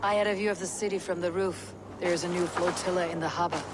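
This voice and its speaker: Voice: medium pitch, steady tone, fast talker, heavy background noise, close to an everyday voice. An actor playing a stoic, determined Viking warrior in a video game cutscene, speaking in a terse, resolute way.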